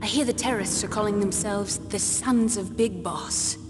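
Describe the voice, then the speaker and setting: An actress playing a poised, clinical military geneticist who explains things precisely and calmly in a video game briefing. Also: female voice, medium pitch, moderate pace, music in the background, lightly acted. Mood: composed, assured. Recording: long reverb, clear background noise.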